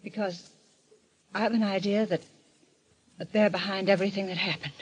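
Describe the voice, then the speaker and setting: An actress playing an anxious, earnest sister in an old-time radio mystery, speaking hesitantly on a dull vintage recording. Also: female voice, medium pitch, fairly even intonation, moderate pace, husky, close to an everyday voice. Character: frightened sister haunted by a strange country weekend.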